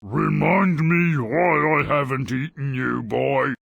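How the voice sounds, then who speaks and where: A slow, raspy, creaking voice plays a surly old brute in a fairy tale game. He is sour, threatening and theatrical. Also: mid-range voice, a bit bright, no room sound, studio quality, full character voice. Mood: menacing. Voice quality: gravelly, rasping. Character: surly, foul-mouthed old brute of a villain. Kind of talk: theatrical video game cartoon acting.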